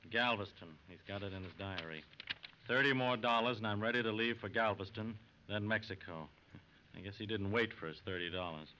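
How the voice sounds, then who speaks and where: Low-voiced American radio G-man. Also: brisk, pushed and bright, highs cut off. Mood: matter-of-fact. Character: steady, quietly serious male federal agent. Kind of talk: 1940s American radio acting. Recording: noisy, band-limited 1940s radio recording.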